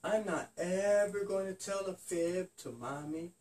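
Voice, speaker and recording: A man's voice: sits high, unhurried, a little mellow, far from the mic. A man voicing a sorry, earnest little bunny rabbit child in a bedtime story. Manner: childlike, repentant, repeats promises.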